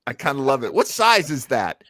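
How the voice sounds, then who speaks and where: A man's voice: mid-range voice, very animated, fairly quick, sharp tone, no echo, clean studio sound. A man hosting a show in a casual, unscripted way, shifting between theatrical announcing and rambling chat. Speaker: show host.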